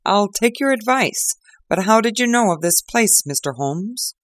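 A man doing a loud, blustering police inspector. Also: lively intonation, unhurried, no echo, clean studio sound. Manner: loud, blustering, high-pitched. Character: gruff excitable male police inspector. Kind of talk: audiobook character reading.

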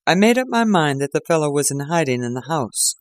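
A man voicing a calm, assured gentleman detective with a dryly amused manner. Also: on the high side, fairly quick, dry recording, clean studio sound.

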